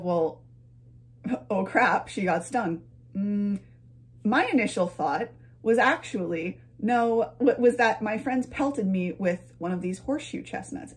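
A young adult woman telling a personal story to camera in a casual, chatty and playful way, often wandering into asides. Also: medium pitch. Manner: chatty, playful, frequent digressions and chuckles. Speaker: vlogger and storyteller. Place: medium-sized home room, indoors.

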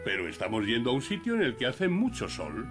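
A woman voicing a patient, kindly daddy pig in a dubbed cartoon, gently explaining. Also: rumbling low, huge pitch swings, a little fast, over loud music, full character voice. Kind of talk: cartoon dubbing.

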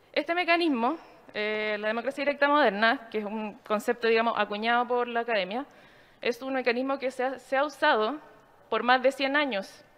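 A woman giving a formal, explanatory slide presentation in a measured way. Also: high voice, fairly bright tone.

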